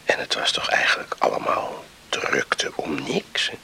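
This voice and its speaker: A man's voice: a little slow, pushed and bright, in a whisper. An actor playing a drowsy, placid young village man in a Dutch radio play, murmuring hesitant short answers. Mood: drowsy, hushed.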